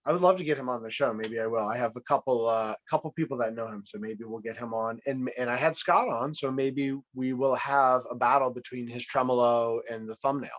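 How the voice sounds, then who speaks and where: A man's voice: medium pitch, brisk, few pauses. Playful man hosting over a video call. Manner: playful, teasing, rambling with restarts. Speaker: host. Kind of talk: casual video-call game show hosting.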